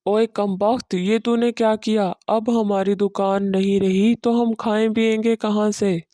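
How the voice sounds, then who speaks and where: Woman playing a scolding cartoon mother, mellow voice. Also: medium pitch, little pitch movement, a little slow, no room sound, clean studio sound, natural voice. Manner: scolding, exasperated. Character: scolding mother of a grown cobbler.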